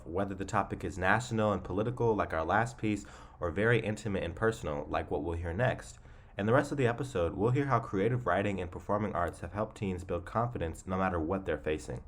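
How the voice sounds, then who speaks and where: Teenage boy, podcast co-host, quick enthusiastic delivery, low voice. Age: teen. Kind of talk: scripted podcast hosting.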